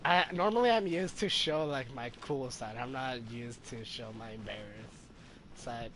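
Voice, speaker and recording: A man's voice: mid-range voice, a little slow, fairly bright tone. A man giving casual live commentary on a game as a streamer, hesitantly thinking aloud.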